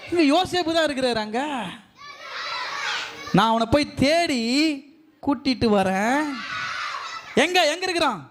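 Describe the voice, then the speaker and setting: A man's voice: extremely high pitch, lively intonation, measured pace, pauses often, noisy background. A man hosting a live children's puppet show, coaxing the puppets and playfully calling out to the young audience. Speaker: host speaking to children and puppets.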